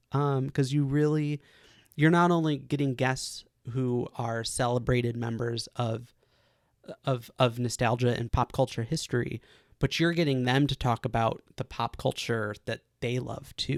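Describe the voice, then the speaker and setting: A man with a mid-pitched voice hosts a casual podcast interview. He speaks effusively and admiringly to his guest. The sound is dry, with very little room to it. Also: no echo.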